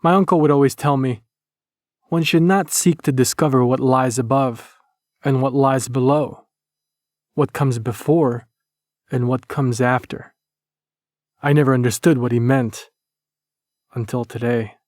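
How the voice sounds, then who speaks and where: A man playing a grim, hardened wartime soldier, gravely narrating a horror audio drama. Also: medium pitch, fairly even intonation, a little slow, pauses often, a little mellow, no echo, studio quality, close to an everyday voice. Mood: grim, reflective.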